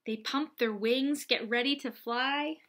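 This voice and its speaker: A young adult woman reading aloud and explaining gently, as a teacher does for young children. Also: high-pitched, unhurried. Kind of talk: children's read-aloud and lesson. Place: small lamp-lit room at home, indoors.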